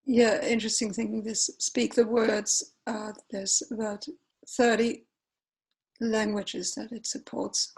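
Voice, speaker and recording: Woman, Finnish accent, slow, hesitant with many fillers, tutorial narration, webcam mic. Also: a little high, little pitch movement, gravelly, slightly rough, rough recording. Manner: unhurried, hesitant, many fillers and self-corrections. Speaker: tutorial presenter. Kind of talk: screen-share software tutorial.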